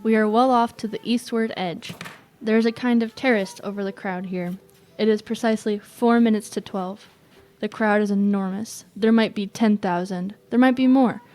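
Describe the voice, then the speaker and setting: A woman's voice: medium pitch, fairly even intonation, moderate pace, a little mellow, natural voice. A young woman playing a watchful radio reporter, giving tense eyewitness commentary in short statements for an audio drama. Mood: tense, observant. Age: young adult.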